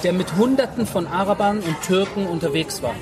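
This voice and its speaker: High-voiced man, emphatic street talk. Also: fairly quick. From Berlin, Germany. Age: 40s. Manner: emphatic, slangy, repeats phrases for stress. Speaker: interview guest. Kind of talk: casual personal storytelling. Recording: music and crowd noise behind.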